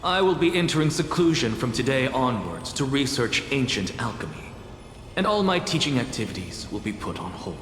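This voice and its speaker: A man's voice: mid-range voice, sing-song, normal speed, a bit bright, slight background noise. An actor playing an exacting, pompous male professor in a video game, speaking with withering condescension. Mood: haughty, irritated. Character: haughty, exacting male professor and renowned scholar. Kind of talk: dramatic video game voice acting. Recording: echoey long reverb with music behind.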